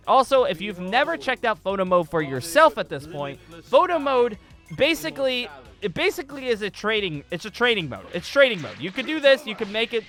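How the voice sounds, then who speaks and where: A young man giving a casual, rambling gaming tutorial, repeating phrases for emphasis. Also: sits high. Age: young adult. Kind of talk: casual off-the-cuff tutorial commentary over gameplay. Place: indoor room at a computer desk.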